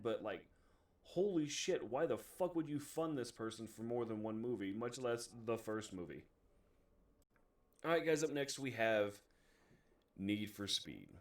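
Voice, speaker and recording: Guy doing an opinionated video rant, cusses a lot, fed up and emphatic, average pitch, sounds kinda far from the mic. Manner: profane, exasperated, emphatic. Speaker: video host reviewing movies. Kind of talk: casual opinionated rant to camera.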